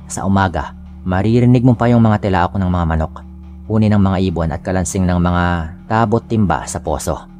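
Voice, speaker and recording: A man's voice: sits low, fairly quick, a little mellow. A man with a Filipino accent giving a scripted first-person narration of a scary story. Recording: music bed under the voice. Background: background music.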